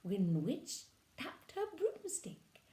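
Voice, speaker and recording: Brief: storyteller woman, high and lively, unhurried, echoey room. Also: slight background noise. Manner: animated, lively expression. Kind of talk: reading a children's picture book aloud.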